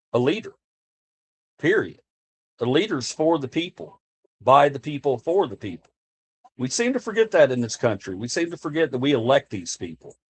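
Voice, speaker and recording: An American man streaming live and chatting to his viewers in a casual, impassioned way, often restarting and repeating himself. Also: medium pitch. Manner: earnest, impassioned, repeats himself while speaking off the cuff. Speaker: livestreamer talking to chat. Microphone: streaming desk mic.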